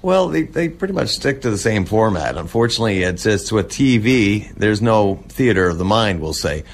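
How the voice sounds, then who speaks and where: A man speaking casually as an interview guest, halting and reminiscing as he goes. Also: on the low side, very animated. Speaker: interview guest, old-time radio collector and restorer. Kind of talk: casual radio interview talk.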